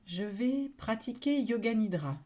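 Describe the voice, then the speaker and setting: A woman leading a guided relaxation meditation in a calm, soothing manner, speaking in short phrases with long pauses. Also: on the high side. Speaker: yoga nidra meditation guide.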